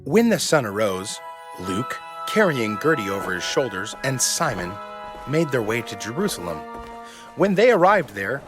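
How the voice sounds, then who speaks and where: Animated male storyteller over music. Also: medium pitch, moderate pace. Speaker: narrator. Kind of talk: scripted story narration for family audio drama.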